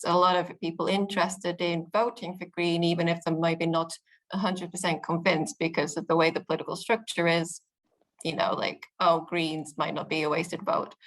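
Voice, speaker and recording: A young British woman speaking in a fairly low voice. She talks earnestly and upbeat, with plenty of ums and restarts, like an interviewee thinking aloud. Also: rough recording. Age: young adult. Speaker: interviewee, youth political spokesperson. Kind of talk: casual interview answers.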